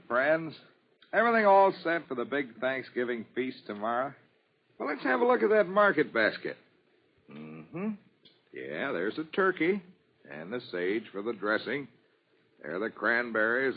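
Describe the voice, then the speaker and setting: 40s american radio ad man, chatty salesman, husky voice swooping up and down, old lo-fi broadcast sound. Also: mid-range voice. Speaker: radio announcer reading a sponsor ad. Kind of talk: 1940s radio advertising pitch. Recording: dull, lo-fi vintage 1940s radio.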